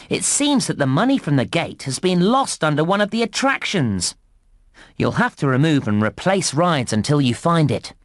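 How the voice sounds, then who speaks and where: A cheery, eager theme park guide for a children's game, with a highish male voice that swoops up and down. He gives encouraging, animated instructions in a scripted narration style, recorded close and dry. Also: no room sound. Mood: alarmed. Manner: animated, clearly enunciated instructions, exclamatory.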